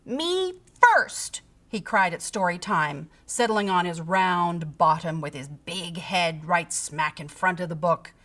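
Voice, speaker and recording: A woman reading a children's picture book aloud, with theatrical, deliberate storytelling and dramatic emphasis. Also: fairly low pitch, steady tone, a bit bright. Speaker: storybook reader.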